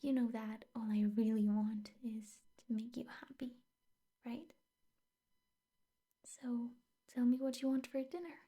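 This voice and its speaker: Breathy, slow-talking possessive girlfriend played by a woman. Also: a little high, steady tone. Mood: sweetly controlling. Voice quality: soft, breathy, often whispered. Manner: slow, intimate, coaxing with veiled threats. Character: possessive yandere girlfriend, a teacher, sweetly menacing. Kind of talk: ASMR girlfriend roleplay.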